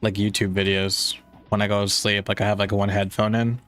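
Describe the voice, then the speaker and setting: A man's voice: lowish voice, level tone, a little fast, a bit bright, soft background music. A young man casually chatting on a game stream, rambling with lots of fillers, with a slightly nasal voice. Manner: rambling, many "like" fillers, laid-back. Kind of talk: casual livestream chat reading chat.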